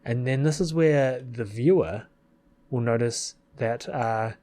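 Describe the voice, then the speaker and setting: A man's voice: mid-range voice, slow pace, mellow. A middle-aged man giving casual, self-deprecating video commentary, his mouth partly numb. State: mouth partly numb after dental work. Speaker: video creator. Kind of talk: casual rambling commentary over a film edit. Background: background music.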